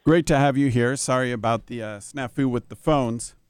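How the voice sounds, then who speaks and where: A man hosting an interview, speaking in measured, formal sentences with occasional fillers. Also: medium pitch, steady tone, a little mellow, clear, resonant tone, no room sound, studio quality. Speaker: interview host. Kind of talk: radio-style interview.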